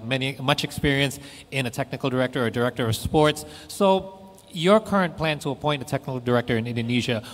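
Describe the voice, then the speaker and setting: A man's voice: medium pitch, big-room sound. A man hosting an interview and interpreting, asking questions hesitantly with many fillers and restarts.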